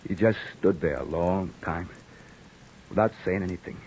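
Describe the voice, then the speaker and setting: A man playing a gruff but decent beat cop who talks in streetwise slang and narrates his story, in 1940s radio acting style. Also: sits low, normal speed, darkish tone. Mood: narrating. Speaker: police officer and narrator.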